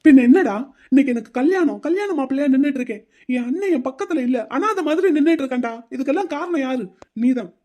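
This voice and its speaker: A resentful young groom, voiced by a performer in an audio drama, firing off quick accusations. The voice is mellow and dark but pushed hard. He keeps pressing his charges. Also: high voice, lively intonation. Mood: accusing. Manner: accusing, heated.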